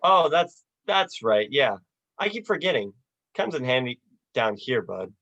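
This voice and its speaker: A young performer playing a despairing, sardonic family man in a radio play, with halting, dry delivery. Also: mid-range voice, big pitch swings, fairly bright tone, full character voice. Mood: sardonic, incredulous. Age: teens or young adult. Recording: dull, lo-fi video call audio.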